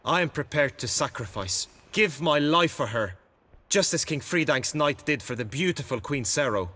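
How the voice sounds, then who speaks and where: An actor playing an earnest, anxious, gallant knight in a video game, with formal and florid courtly speech. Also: male voice, fairly high pitch, animated, normal speed, sharp tone. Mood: earnest, resolute. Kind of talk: dramatic video game acting.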